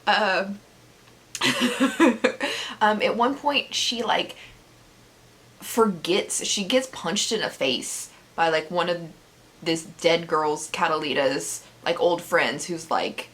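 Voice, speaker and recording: A woman's voice: lowish voice, slow, fairly bright tone. A woman chatting casually in a vlog as a book reviewer, giggly and breaking into laughter as she talks, with sarcastic asides. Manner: giggly, laughs through her sentences. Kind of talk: casual chat recounting a book's plot.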